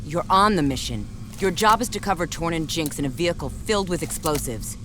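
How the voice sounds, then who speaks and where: An actor playing a stern resistance commander in a video game, giving firm, urgent orders. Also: male voice, fairly high pitch, lively intonation, fairly quick, background noise, natural voice. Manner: firm, urgent, matter-of-fact. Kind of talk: animated video game cutscene acting. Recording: loud music under the voice.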